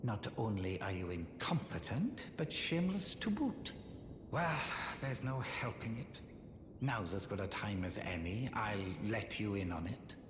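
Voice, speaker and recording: Snide sorcerer: haughty, sneering, fairly low game voice in long, noisy reverb. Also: male voice, big pitch swings, measured pace. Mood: sneering, grudging. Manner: sneering, condescending, pompous. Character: haughty, spiteful, scheming sorcerer. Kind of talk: video game character dialogue. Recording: echoing hall reverb, noisy game capture.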